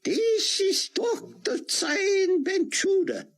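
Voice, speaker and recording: A man voicing a formal, halting male rabbi scholar with a thick Hebrew accent. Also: very high voice, animated, voice pushed up, heavily acted.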